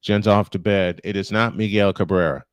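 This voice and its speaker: A man's voice: lowish voice, fairly even intonation, moderate pace, smooth, dry recording. Man calling baseball, dry close sound. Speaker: baseball play-by-play host. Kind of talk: live sports commentary with casual banter.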